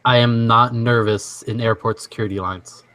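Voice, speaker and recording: A young man from Washington state hosting a casual online chat, easygoing and quick to laugh as he reads quiz statements. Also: mid-range voice, fairly even intonation, a bit bright. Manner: easygoing, laughs often, halting restarts. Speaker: stream host.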